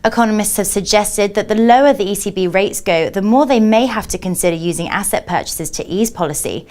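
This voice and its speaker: A woman's voice: medium pitch, fairly quick, darkish tone. Woman TV news interviewer. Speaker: TV journalist interviewing by phone. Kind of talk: scripted broadcast news interview.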